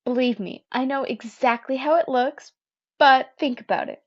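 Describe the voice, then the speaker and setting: Woman reader doing a sharp, persuasive teen boy; loud, insistent, lo-fi. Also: high voice, moderate pace. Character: young male student, persuasive and sharp.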